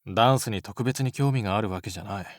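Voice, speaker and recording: A man playing a cool, blunt, athletic teenage schoolboy in an anime-style voice drama, talking in curt, rough slang. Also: on the low side, fast, no echo, clean studio sound, lightly acted. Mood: cool, matter-of-fact.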